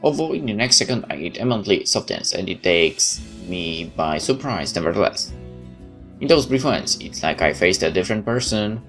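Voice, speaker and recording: A man's voice: lowish voice, expressive, fairly quick, fairly bright tone. A man doing a let's play, reading a game's story text aloud with casual asides. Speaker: game streamer reading aloud. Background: game music.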